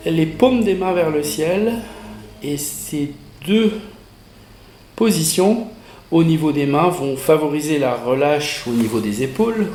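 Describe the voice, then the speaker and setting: A man leading a guided yoga relaxation meditation as instructor, giving measured instructions in short phrases with pauses between them. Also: on the high side, a little mellow. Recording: roomy echo, music behind the voice.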